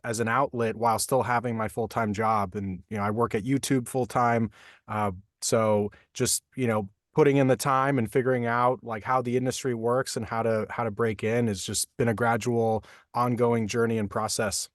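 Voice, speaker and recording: A man who works as a voice actor, explaining his craft in a relaxed, thoughtful way with many fillers, from a home recording booth. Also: medium pitch, moderate pace, dry recording, studio quality. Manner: thoughtful, frequent fillers like "like" and "you know". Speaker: voice actor guest. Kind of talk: casual interview answers.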